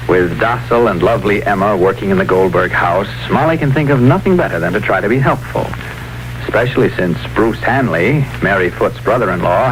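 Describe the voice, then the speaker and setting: A man's voice: lowish voice, poor audio. A man narrating an old-time radio soap opera from a script. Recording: vintage radio recording, music behind.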